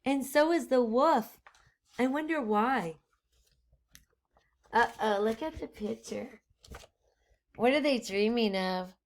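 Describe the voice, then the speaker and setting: A woman doing an expressive children's read-aloud, pausing the story to ask listeners questions. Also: a little high, a little hiss or hum. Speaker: storytime reader, teacher.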